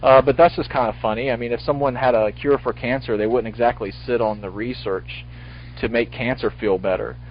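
A man's voice: medium pitch, steady tone, a little fast. Full-voiced male talk radio host. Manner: rambling, full-voiced, many restarts. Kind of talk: casual call-in talk radio. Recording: lo-fi radio stream with faint hiss.

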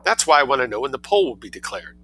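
A man's voice: mid-range voice, sing-song, fast, sharp tone, a little hiss or hum. A man voicing a scheming little boy who sounds sweet but speaks in a cheeky, matter-of-fact way. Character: cunning angelic-seeming little boy.

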